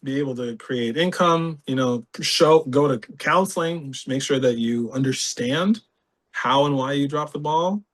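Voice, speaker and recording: A man from California, a podcast guest, talks through his own past in a lively way, laughing often and acting out other people's words. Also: medium pitch. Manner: animated, laughs often, reenacts voices. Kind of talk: candid personal storytelling.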